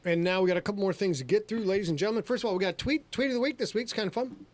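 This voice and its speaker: Man, middle-aged, slightly high voice, boastful jokey host, casual podcast talk. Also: rapid-fire. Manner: boastful, jokey, showman-like, addresses ladies and gentlemen. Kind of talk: casual livestream chat.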